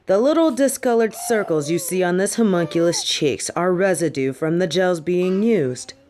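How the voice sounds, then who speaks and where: A woman's voice: fairly low pitch, animated, darkish tone, lightly acted. Woman playing a haughty, stern headmistress of magic. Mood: stern lecturing. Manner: haughty, measured, formal. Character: stern, contemptuous older headmistress of magic school. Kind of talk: fantasy audio roleplay acting.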